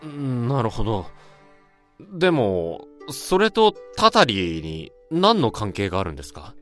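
A man's voice: mid-range voice, huge pitch swings, unhurried, a bit bright, faint music behind. A man acting a polite, puzzled and troubled junior office worker in a Japanese radio drama, speaking hesitantly.

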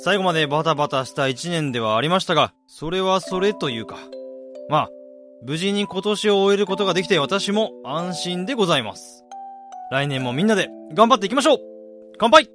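A man's voice: high-pitched, expressive, brisk, music in the background. A man playing a sociable, teasing senior office worker who leads his group of friends in a radio drama. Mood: hearty toast. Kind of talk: Japanese radio drama acting.

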